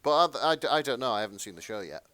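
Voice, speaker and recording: A man's voice: medium pitch, sing-song, quick pace, pushed and bright, dry recording. A man co-hosting a podcast in casual chat, a little hesitant and repeating words as he goes. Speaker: podcast co-host.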